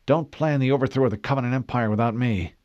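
A man's voice: medium pitch, quick pace, darkish tone, dry recording, lo-fi sound, voice pushed up, lightly acted. A man voicing a breezy, wry female soldier in an audiobook. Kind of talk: audiobook character voice.

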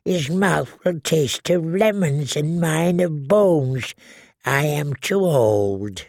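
A man doing a croaking ancient dwarf crone. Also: on the high side, lively intonation, darkish tone, no echo, studio quality, voice pushed up, heavily acted. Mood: wheedling. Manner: slow, wheedling, prophetic. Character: ancient tiny dwarf woman seer, eerie and cackling. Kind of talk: audiobook character voice.

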